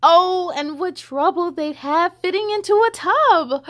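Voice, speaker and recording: Animated high-voiced young woman reading aloud. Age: young adult. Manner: animated, drawn-out emphasis on rhymes. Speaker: children's story time host. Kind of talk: expressive picture book read-aloud with chatty asides.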